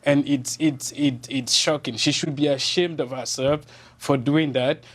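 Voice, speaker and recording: A man's voice: medium pitch, fairly even intonation, unhurried, fairly bright tone. Indignant man with a Francophone Cameroonian accent. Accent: Cameroonian French. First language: French. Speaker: journalist, interview guest. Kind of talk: television interview.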